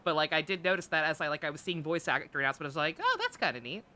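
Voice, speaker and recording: A woman's voice: sits low, expressive, very fast, pushed and bright. A woman live streaming a game with casual, candid commentary, rambling and stammering, with frequent swearing. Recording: game music behind the voice.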